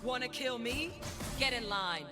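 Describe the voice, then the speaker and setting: An actress voicing a serious, battle-hardened gunslinging video game heroine who delivers measured one-liners with dry, deadpan humor. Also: female voice, a little high. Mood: grim, defiant. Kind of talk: video game character voice lines. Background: background music.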